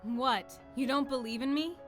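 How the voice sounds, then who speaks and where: Woman playing a proud, high-voiced exiled royal. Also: normal speed, faint music behind, lightly acted. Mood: proud, defiant. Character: proud, ambitious exiled young female royal, would-be conqueror. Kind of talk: audio drama acting.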